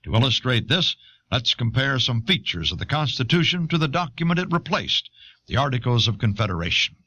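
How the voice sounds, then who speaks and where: Male narrator for educational history audio; bright, highly inflected, measured delivery, dull cassette sound. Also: big pitch swings.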